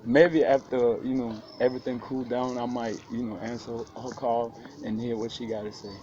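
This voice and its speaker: A man's voice: mid-range voice, flat delivery, fairly quick, warm tone. Laid-back man. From South Sudan. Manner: laid-back, street slang fillers. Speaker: interview guest. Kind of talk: casual interview talk. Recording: muffled with faint background noise.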